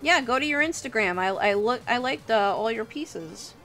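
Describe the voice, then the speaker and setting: A woman's voice: fairly high pitch, expressive, normal speed, a bit bright. A woman chatting casually and informally as a livestream host, with an encouraging manner. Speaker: livestream host and digital artist. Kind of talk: casual livestream chat while drawing. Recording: music playing behind the voice. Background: music.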